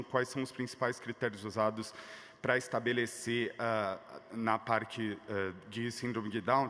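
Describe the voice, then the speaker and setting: A man's voice: mid-range voice, a bit bright. Journalist man, hesitant question in a hall. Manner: hesitant, many fillers. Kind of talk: asking a press question. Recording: reverberant hall.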